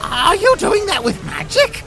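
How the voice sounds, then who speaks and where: A man playing an earnest, dramatic, downhearted young skeleton in an animated comic dub, speaking in formal, theatrical phrases. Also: very high voice, measured pace, creaky, over loud music, full character voice, low-quality recording. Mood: amazed.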